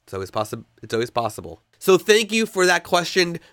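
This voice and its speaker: A man's voice: a little high, sing-song, fairly quick, a bit bright, no room sound, studio quality. A man hosting a podcast, congested from a cold, explaining a technical fix step by step with frequent fillers and restarts. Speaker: podcast host.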